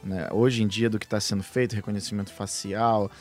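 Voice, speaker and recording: A man's voice: fairly low pitch, flat delivery, brisk, faint music behind. A man co-hosting a casual technology podcast, explaining at length with frequent fillers and restarts. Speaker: podcast co-host. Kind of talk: casual tech podcast chat.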